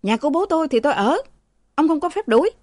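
A woman's voice: lively intonation, a little mellow, dry recording. Woman voicing a foul-mouthed brawling young man. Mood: defiant. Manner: insulting, quick and shrill.

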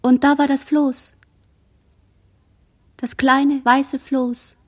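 A woman in a radio play, playing a dazed, traumatised girl who survived a massacre, in halting fragments. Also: high-pitched, flat delivery, darkish tone. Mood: haunted, halting.

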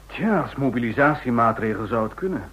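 An actor playing a doubting government official at a crisis council in a radio drama. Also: male voice, medium pitch, animated, brisk, a bit bright, breathy edge. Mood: cautious. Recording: dull, lo-fi radio drama recording.

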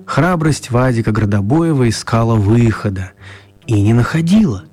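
A male narrator for a children's radio play, his voice a little husky and mid-pitched. He tells the story in a measured, expressive manner with gentle humour. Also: soft background music.

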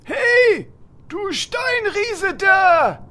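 A man playing a cheeky, brash little hero who mocks loudly in a fantasy parody audio drama. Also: very high voice, very animated, very slow, exaggerated voice. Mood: taunting. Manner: loud, mocking taunts.

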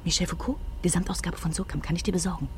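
An actress playing a flippant, teasing library clerk in a radio drama. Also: female voice, fairly low pitch, steady tone, very fast, darkish tone, a little hiss or hum, lightly acted. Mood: cheeky, mocking. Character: cheeky, teasing young library stacks clerk.

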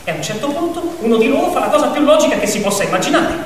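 A man narrating a fable as a theatrical dramatic monologue, with emphatic build-ups and pauses. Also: very high-pitched, sing-song, slight background noise. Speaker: actor and storyteller. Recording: echoey, distant reverberant hall with music.

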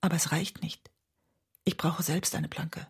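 A woman's voice: deep voice, fairly even intonation, a little slow, husky, heavily acted. An actress playing a weary, intense woman lover in a radio drama, speaking intimately and often close to a whisper. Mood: weary, troubled. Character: weary, intense woman lover, narrating and confronting her partner. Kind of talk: radio drama acting and literary narration.

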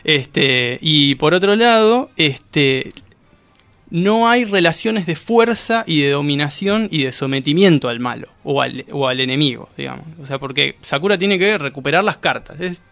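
An Argentine man in a casual radio discussion, explaining things in a halting way, correcting himself and often checking that he is followed. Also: fairly high pitch, lively intonation, gravelly, a bit bright, no echo. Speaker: guest discussing comics and manga.

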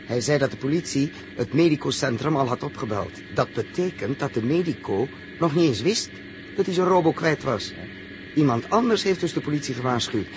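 A suspicious, grumbling crewman played by an actor in an old radio drama. His mid-range male voice is edgy and emphatic. Clear noise sits behind the sixties recording. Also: medium pitch, animated, a little fast, natural voice. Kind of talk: 1960s Dutch radio drama acting. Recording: noisy 1960s radio recording.

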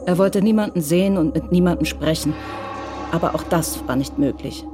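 A woman's voice: low voice, normal speed. A woman narrating a scripted audio drama in Standard German. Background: music.

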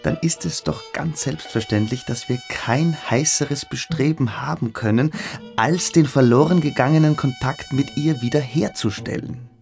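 A man's voice: medium pitch, moderate pace, vocal fry, a little mellow, soft background music, close to an everyday voice. A man in a radio play, playing a fanatical, brooding schoolboy philosopher who lectures with pompous intensity. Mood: fervent lecturing.

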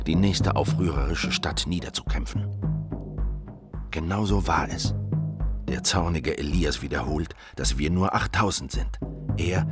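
A man's voice: sits low, lightly acted. An actor playing a young male revolutionary who narrates his war in grave, terse, literary fragments in an audio drama. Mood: grim, terse. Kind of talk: scripted first-person audio drama narration. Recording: loud music over the voice. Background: loud music.

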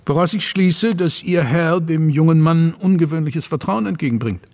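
An actor playing a courteous, troubled Victorian gentleman in a German radio play, grave and formal, with a husky voice. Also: fairly high pitch, natural voice. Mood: courteous, probing. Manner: measured, formal, brooding.

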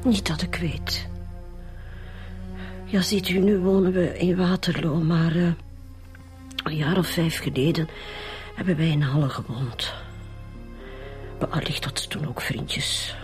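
An actress playing a subdued, grieving mother in a radio drama, speaking haltingly with a Flemish accent. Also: low voice, faint music behind.

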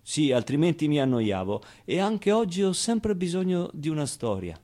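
An actor playing a wistful, streetwise man who recalls his orphaned boyhood in an expressive, colloquial theatrical monologue. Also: a little high. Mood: nostalgic. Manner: expressive, clownish, with pauses and repeated phrases. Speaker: stage actor. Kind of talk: theatrical monologue, colloquial first-person storytelling.

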